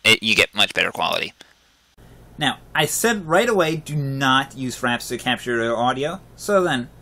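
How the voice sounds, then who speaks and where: A man with a loud voice casually talking viewers through a software tutorial over a screen recording, blunt and rambling, often restarting his sentences. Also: mid-range voice, dry recording. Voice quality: self-described loud voice. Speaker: video tutorial host.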